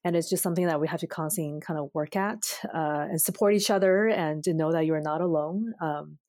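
A woman in her thirties from China, co-hosting a casual podcast, talking thoughtfully with frequent pauses and restarts. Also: low voice, a little mellow, dry recording, studio quality. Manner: thoughtful, many fillers and restarts. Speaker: podcast co-host.

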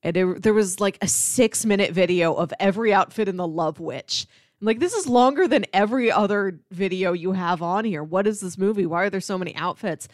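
A woman's voice: medium pitch, a little fast, dry recording, studio quality. A woman co-hosting a podcast in casual, conversational chat. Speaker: podcast co-host.

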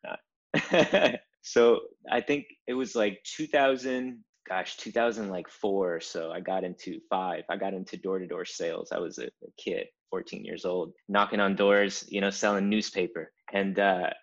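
A very deep-voiced man in his thirties, born in Cuba, speaks as a guest in a relaxed interview. He tells his story earnestly, often restarting phrases as he builds his points. The sound is a little dull and lo-fi. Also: measured pace. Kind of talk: casual interview.

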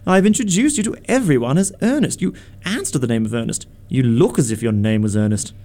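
A man acting in a radio play as a witty, idle young gentleman in a comedy of manners, with arch, languid, ornate phrasing. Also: mid-range voice, animated, quick pace, natural voice. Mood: triumphant, needling. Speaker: amateur radio theatre actor.

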